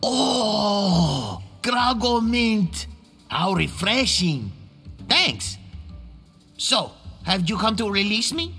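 Wretched whiny prisoner for a cartoony game, super sharp high voice, theatrical begging. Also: male voice, very animated, very slow, slightly rough, soft background music, heavily acted. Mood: chatty, rueful. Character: pleading, foul-breathed, wretched male jailbird. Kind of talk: cartoonish video game acting.

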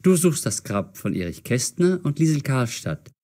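Casting: man as keen boy sleuth, audio story, medium pitch, very animated, decisive. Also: normal speed, natural voice. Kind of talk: scripted audio story for language learners.

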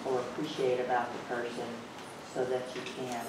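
A woman with a very low voice speaks in a flat, dry monotone from the seminar audience. Her voice sounds distant in a strongly echoing room, with loud ambient noise behind it. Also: moderate pace. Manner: self-described monotone, dry. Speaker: seminar attendee. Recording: echoey room, distant from the mic.

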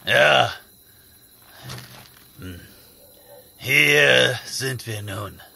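An actor playing a gruff, taciturn barbarian thief in a fantasy audio drama, terse and guarded, with a gravelly voice. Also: male voice, medium pitch, very slow, bright, forceful tone, a little hiss or hum, full character voice.

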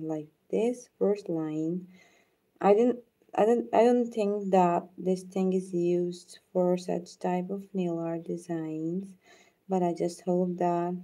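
A woman whose first language is Urdu, gently talking viewers through a nail art demonstration and thanking them by name. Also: lowish voice, steady tone. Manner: soft-spoken, unhurried, thanks viewers by name. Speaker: nail art tutorial host. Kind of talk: live nail art demonstration with chat shout-outs.

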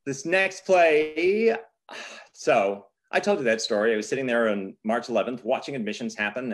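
A man's voice: fairly low pitch, fairly bright tone. A man hosting an informal live presentation on a video call as a theater director, speaking with effusive enthusiasm. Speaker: theater artistic director, host.

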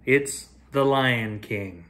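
A man in his forties reading a children's picture book aloud as a storyteller, deliberate and expressive. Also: mid-range voice. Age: 40s. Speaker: storyteller reading bedtime stories. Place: small home room with shelves, indoors.

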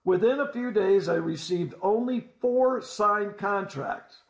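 High-pitched man narrating in a roomy space. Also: slow pace, gravelly. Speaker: storyteller. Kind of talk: scripted first-person story narration. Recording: roomy, echoing voice-over.

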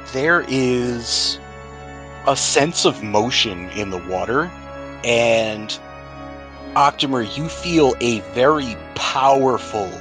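Man, game master, laughs easily, relaxed narration over background music. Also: medium pitch. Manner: laughs often, relaxed joking.

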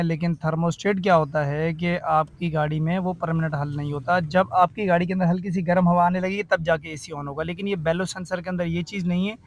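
A man, a car AC mechanic, walks through a repair step by step and keeps saying "theek hai" and "ye dekhiye". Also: sits high. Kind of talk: workshop tutorial.

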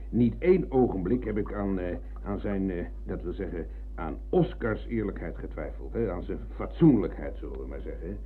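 A man's voice: on the low side, slightly rough, full character voice. An actor playing a rambling, argumentative older ex-soldier in a radio play, hesitating and digressing as he reminisces. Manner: digressive, hesitant searching, tag questions. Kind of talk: Dutch radio play acting. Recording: muffled phone-like old radio recording.

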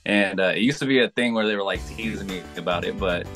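A man's voice: sits low, fast talker, music in the background. A man in his thirties from Sacramento, California, talking casually over a video call as an interview guest, rambling with fillers. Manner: relaxed, rambling with fillers and restarts. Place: indoor room.

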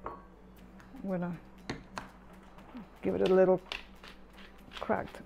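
A middle-aged woman calmly talking through her cooking steps in a chatty home tutorial voice-over. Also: lowish voice, very slow, creaky, highs cut off, faint music behind. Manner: relaxed, chatty step-by-step explaining. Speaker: home cook.

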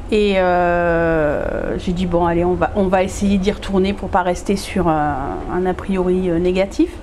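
A woman in her forties from northern France talks through an interview in a relaxed, warm tone, often pausing and restarting. Her voice sits at a middle pitch, with a faint hiss behind it. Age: 40s. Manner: hesitant, many fillers and restarts. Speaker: photographer and event organizer. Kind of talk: casual interview answers. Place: glass greenhouse room.

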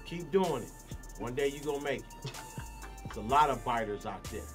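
A man offers encouraging advice in a radio studio. His voice is mid-pitched. A beat plays clearly beneath him. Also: lively intonation, moderate pace. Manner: encouraging, advising. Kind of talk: documentary interview. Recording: clear music bed.

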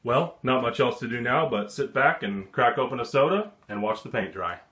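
A man's voice: medium pitch, lively intonation, normal speed, fairly bright tone, echoey room. A man giving a plain, matter-of-fact how-to narration about work on his sailboat. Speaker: vlogger refitting his sailboat. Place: aboard a boat in a marina.

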